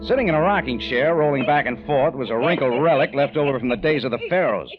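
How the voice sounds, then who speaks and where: A man's voice: medium pitch, expressive, fast, natural voice. An actor playing a tough, wry detective who narrates his own case in a 1940s radio style, clipped and wisecracking. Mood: wry narrating. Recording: dull, lo-fi old radio with music.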